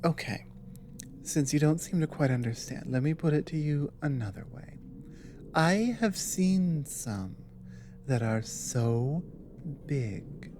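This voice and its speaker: A woman playing an earnest, apologetic female dragon in an ASMR audio roleplay, explaining things hesitantly in a soft voice. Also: sits low, slight background noise. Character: earnest, apologetic female dragon warning a human ally of war.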